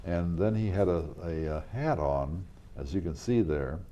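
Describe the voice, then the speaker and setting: An older man, a local historical reenactor, telling rambling stories in a casual interview, with a loud voice and many fillers and restarts. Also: low-pitched, lively intonation, moderate pace, lo-fi sound. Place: community TV studio.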